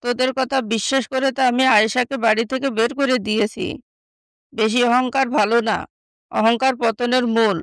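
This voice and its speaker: A woman's voice: a little high, steady tone, a little fast, no echo, lightly acted. A woman playing a regretful older village mother in a moral story.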